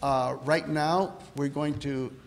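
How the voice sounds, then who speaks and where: A man hosting a conference session, switching between Spanish and English and putting brisk, direct questions to students. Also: fairly high pitch, steady tone, creaky. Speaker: event host and interviewer. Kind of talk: bilingual event announcements and interviewing. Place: conference hall.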